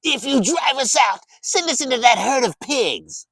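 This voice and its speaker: Shrill, menacing demons, shouting. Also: male voice, lively intonation, normal speed, husky, dry recording, clean studio sound, far from the mic, heavily acted. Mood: pleading. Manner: shouting, pleading. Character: violent demons in possessed men, shrieking and pleading.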